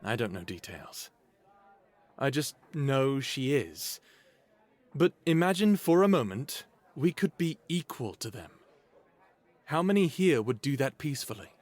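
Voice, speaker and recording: A man acting in an audio drama as an earnest, idealistic character who speaks haltingly and persuasively about change. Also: mid-range voice, big pitch swings, darkish tone, no echo. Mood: hopeful, persuasive. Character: earnest, idealistic young man, former captive urging peaceful change. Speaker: lead character and narrator. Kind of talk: audio drama acting with first-person narration.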